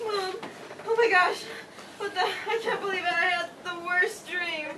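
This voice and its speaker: A woman's voice: very high-pitched, steady tone, a little slow. A woman in a staged play reading, playing an emotional college-age daughter who pleads with her mother. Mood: frantic, overwhelmed. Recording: distant, noisy live stage recording.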